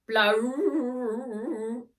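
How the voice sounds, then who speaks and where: A woman imitating wheezy old bagpipes in a children's storybook, with loud, rude, grumbling honks. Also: on the high side, extremely slow, pitched above the performer's own voice. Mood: blaring.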